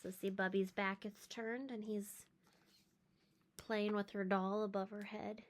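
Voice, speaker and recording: A woman reading a children's story aloud in an expressive, emphatic way, with chatty asides. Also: mid-range voice, unhurried, a little hiss or hum.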